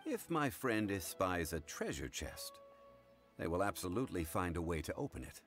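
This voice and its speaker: An actor playing a composed, scholarly gentleman consultant in an anime game, speaking formally and with measured gravity. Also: low voice, big pitch swings, soft background music. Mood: courteous, calm.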